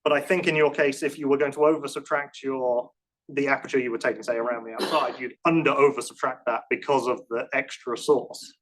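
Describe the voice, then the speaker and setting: A man at a lecture-room conference fielding technical questions. He talks in a casual, halting way, restarting sentences and hedging with self-deprecating remarks. His voice sits at an ordinary middle pitch. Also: fairly even intonation, fairly bright tone, low-quality recording. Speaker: conference speaker answering questions.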